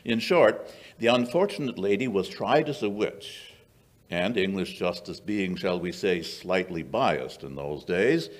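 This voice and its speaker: Male actor as gentleman sleuth for radio play: low register, lively swoops, shrewd, dry, measured storyteller. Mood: sardonic, grave. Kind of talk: old-time radio drama acting. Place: small indoor stage before an audience. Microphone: vintage-style stand microphone.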